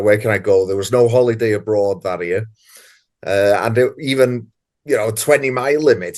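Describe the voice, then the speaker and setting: Guest author: North Welsh man, thirties, easygoing rambling storyteller, middle-pitched voice. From North Wales. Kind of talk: casual podcast interview.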